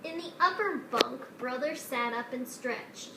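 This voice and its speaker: A woman's voice: sits high, a little slow. A woman reading a children's story aloud as narrator. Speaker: storybook reader. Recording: roomy echo with faint noise.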